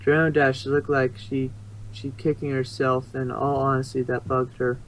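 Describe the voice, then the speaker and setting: A man's voice: medium pitch, completely flat delivery, normal speed, a little hiss or hum, low-quality recording. A man narrating a story in an amateur reading, stumbling now and then and rereading phrases.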